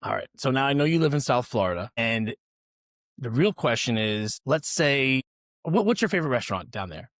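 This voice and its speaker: A man's voice: medium pitch, sing-song, normal speed, fairly bright tone, dry recording. Chatty male podcast host, dry close mic. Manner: upbeat, chatty, explains things to listeners. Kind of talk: casual interview and ad read.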